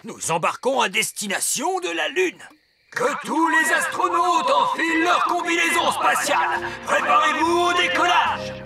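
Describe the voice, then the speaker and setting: A man's voice: very high voice, animated, a little slow, gravelly, exaggerated voice. A man voicing a pompous, serious elf inventor in a children's cartoon, formal and exact in manner. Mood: stern, commanding. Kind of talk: animated children's cartoon dub. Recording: music bed behind the voice.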